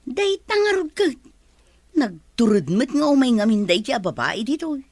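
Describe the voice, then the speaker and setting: A woman's voice: high-pitched, big pitch swings, moderate pace, a little mellow, no room sound, heavily acted. A woman acting a nosy, gossipy household worker with an Ilocano accent in a radio drama.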